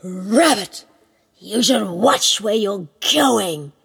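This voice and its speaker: A woman's voice: medium pitch, lively intonation, drawn-out words, creaky, heavily acted. Woman doing a big, whiny, gullible tiger. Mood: gruff, curious. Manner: whining, stammering, pleading. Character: big, gullible male tiger, grumbling and anxious. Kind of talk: animated oral folktale storytelling.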